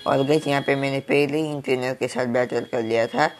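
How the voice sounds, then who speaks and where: A young man with a Hindi accent, hoarse from a cold, giving casual game commentary. He keeps stuffing "guys" between his phrases. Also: mid-range voice, steady tone, fairly quick. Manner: filler-heavy, keeps saying "guys". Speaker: gaming YouTuber.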